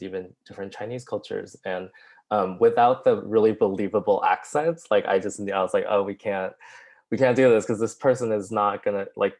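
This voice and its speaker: A man speaking on a festival Q&A panel, his voice sitting very low. He explains his writing choices in a relaxed, thoughtful, loosely phrased manner. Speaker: writer-director at a Q&A.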